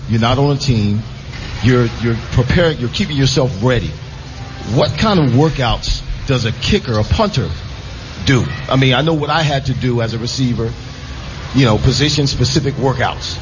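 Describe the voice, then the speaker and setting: A mature man co-hosts a live sports show in a mid-pitched voice. He talks conversationally and casually, repeating phrases as he goes. The sound is dull, with clear noise around him. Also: animated, moderate pace. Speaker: radio co-host, former football receiver. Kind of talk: casual live interview chat. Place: live radio broadcast from a casino. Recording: dull lo-fi audio, loud venue noise.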